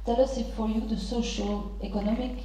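A middle-aged woman moderating a panel, introducing guests and posing questions formally in halting, deliberate phrases. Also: on the high side, fairly even intonation, warm tone. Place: large theatre stage. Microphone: handheld microphone through hall speakers. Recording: very echoey theatre PA, distant, muffled.

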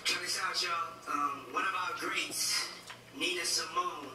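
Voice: medium pitch, fairly even intonation, measured pace, heavy reverb. Distant TV show judge over music. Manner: earnest praise for a singer. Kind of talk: on-stage judge commentary.

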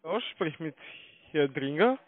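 A man with a foreign accent mumbles in a fairly high voice. He is making a sales call, and it comes through a thin, tinny phone connection. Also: lively intonation, a little slow. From Russia. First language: not German. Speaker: call-centre caller.

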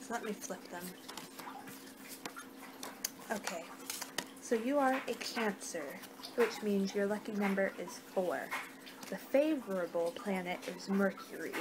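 A young woman doing an improvised ASMR roleplay, muttering in an unhurried, distracted way on a noisy amateur home recording. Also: medium pitch. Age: young adult. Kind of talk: ASMR roleplay, improvised reading of fortune cards. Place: small home room, indoors. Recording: noisy amateur webcam-style room recording.

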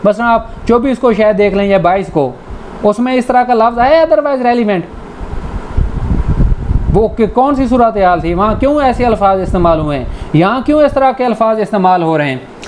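A man's voice: high voice, a little mellow, rough recording. Casting: male Pakistani law lecturer, conversational explainer, bilingual delivery, faint background noise. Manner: conversational, switches between Urdu and slowly read English. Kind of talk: informal lecture, explaining legal articles with examples.

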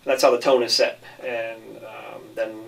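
A man speaks as a film director in a casual interview. His pitch stays quite level and unshowy. He sounds a little way off from the microphone. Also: level tone, measured pace, creaky, distant mic.

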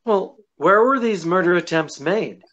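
A man's voice: high voice, animated, measured pace, fairly bright tone. A middle-aged man playing a genial, unflappable detective in old-time radio mystery style, with hesitant, wry interjections. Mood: wry, doubtful. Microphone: video call. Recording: dull lo-fi sound, music behind.

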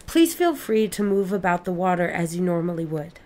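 A woman's voice: fairly low pitch, big pitch swings, a little fast, a little mellow. Courteous, formal woman interviewer. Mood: polite, measured. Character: polite, patient female interviewer gathering people's memories. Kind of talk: audio drama acting.

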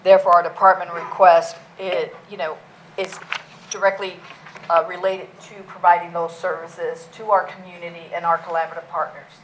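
Loud-voiced woman police chief presenting. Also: on the low side, level tone, moderate pace, slight background noise, rough recording. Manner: loud, plain-spoken, many fillers. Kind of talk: public budget presentation. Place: council meeting room.

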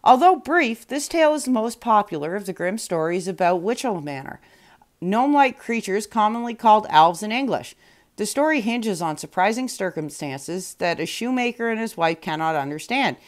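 A woman hosting a storytime, narrating from a storybook in a measured, clearly phrased way. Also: mid-range voice, lively intonation. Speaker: storytime host and reader.

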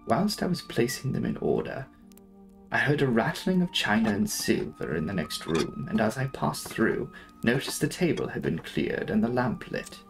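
A man's voice: on the low side, creaky. A young man giving a dramatic narration of a classic novel as an audiobook reader.

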